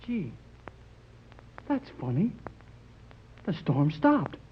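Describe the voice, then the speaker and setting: Eager young lad, boyish and folksy, muffled old radio with heavy hiss. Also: male voice, a little high, very animated, drawn-out words, exaggerated voice. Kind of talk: 1930s radio drama acting.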